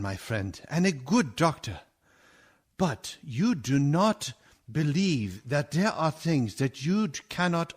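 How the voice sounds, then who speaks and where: A grave, commanding old vampire-hunting doctor, acted by a man in a radio play. He speaks slowly and emphatically, with rhetorical weight and widely swinging pitch. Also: heavily acted. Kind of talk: dramatic radio play acting.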